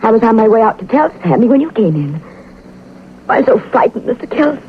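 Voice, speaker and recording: An actress playing an anxious, evasive widow with a secret scheme, pleading in earnest 1940s radio melodrama. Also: female voice, fairly high pitch, very animated, brisk, a little hiss or hum. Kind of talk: 1940s radio drama acting. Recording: dull lo-fi old radio recording.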